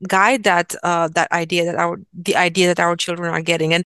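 A woman from Romania with a low, smooth voice that stays flat in pitch. She hosts an interview hesitantly, pausing often with "um". The sound is dry and close. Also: no echo. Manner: hesitant, searching for words, many "um"s. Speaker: interview host. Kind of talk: interview conversation.

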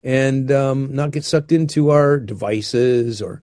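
A man's voice: mid-range voice, slow pace, dark tone, dry recording, studio quality. An older man talking earnestly and unhurriedly about marriage, often restarting his phrases. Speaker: guest speaker on marriage. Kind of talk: reflective conversational talk.

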